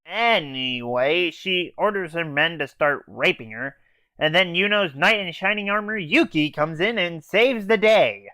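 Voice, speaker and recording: A man's voice: fairly high pitch, animated, a little slow, bright, forceful tone, no room sound. A teenage boy casually recapping an anime episode in an offhand, hesitant way with fillers and swearing. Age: teens. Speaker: anime reviewer.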